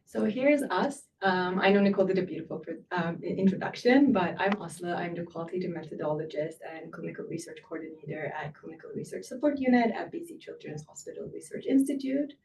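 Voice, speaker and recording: A woman's voice: on the low side, expressive, fairly quick, few pauses, a little mellow, distant mic, slight background noise. A woman from Turkey, a clinical research coordinator presenting a webinar in a friendly way.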